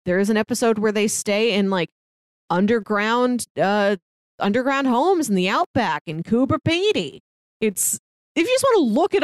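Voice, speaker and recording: A woman's voice: a little high, no room sound, clean studio sound. A woman chatting casually as a podcast co-host, conversational and peppering her sentences with "like". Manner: chatty, conversational, heavy use of "like". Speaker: podcast co-host giving recommendations. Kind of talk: casual film discussion chat.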